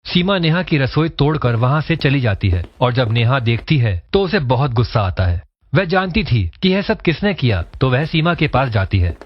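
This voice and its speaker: A man's voice: mid-range voice, sing-song, moderate pace, muffled recording. A man reading scripted storytelling narration for a cartoon moral tale.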